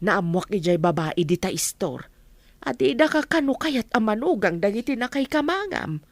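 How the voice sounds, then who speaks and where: A woman playing a talkative, indignant village woman in an Ilocano radio drama, animated and exclaiming. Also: medium pitch, moderate pace, no room sound. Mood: offended.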